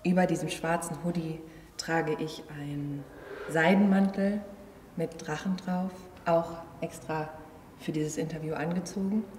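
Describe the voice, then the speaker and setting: A woman's voice: sits low, steady tone, unhurried, darkish tone. A woman of thirty-one introduces herself casually in an interview. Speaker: choreographer interviewee. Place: large empty theatre venue. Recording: echoey hall, distant mic, faint noise.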